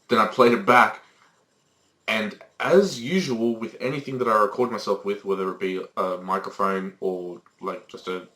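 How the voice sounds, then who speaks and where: A man's voice: fairly low pitch, a bit bright, low-quality recording. A teenage man co-hosting a casual podcast, rambling with lots of fillers and swearing freely. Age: teens. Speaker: podcast co-host. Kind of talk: casual chat. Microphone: Skype call recording.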